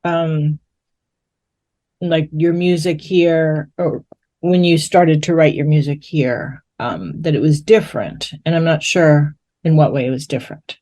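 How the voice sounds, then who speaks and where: A woman's voice: deep voice, fairly even intonation, measured pace, warm tone. A woman interviewing in a conversational, reflective way, rephrasing her questions as she goes to check she understands.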